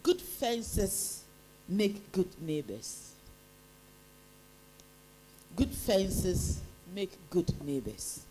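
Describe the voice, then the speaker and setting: A woman acting as quiz master, reading each contest question out deliberately and then repeating it. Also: mid-range voice, big pitch swings, warm tone, slight background noise, rough recording. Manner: deliberate, reads each line twice.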